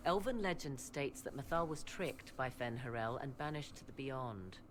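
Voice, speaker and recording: A woman playing a proud, cunning witch in a fantasy video game, with imperious, measured, archaic phrasing. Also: very deep voice, expressive, noisy background. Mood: measured, knowing. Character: haughty, cunning adult female witch, proud and secretive.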